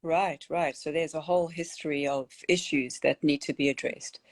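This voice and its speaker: A young woman with a South African accent hosting an interview, talking earnestly and haltingly with many fillers and restarts. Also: deep voice, steady tone. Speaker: host. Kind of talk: casual interview chat.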